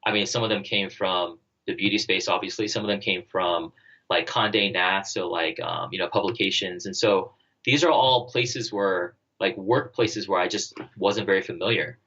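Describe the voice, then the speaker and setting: Bright-voiced low-pitched man thinking aloud. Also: muffled recording. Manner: halting, thinking aloud, heavy use of "like" and "you know". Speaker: interview guest, startup co-founder and former army officer. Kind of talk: conversational podcast interview answers.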